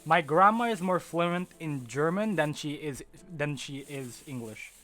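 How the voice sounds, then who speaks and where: A man's voice: on the high side, lively intonation, a little slow, sharp tone. Rambling, stop-start teenage boy. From Philippines. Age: teens. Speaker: podcast co-host. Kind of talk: casual chat.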